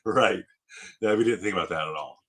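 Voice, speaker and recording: A man's voice: sits low, moderate pace, fairly bright tone, husky. A man in his late fifties chatting casually on a live stream, rambling with many fillers and frequent laughs. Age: 50s. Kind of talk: casual chat.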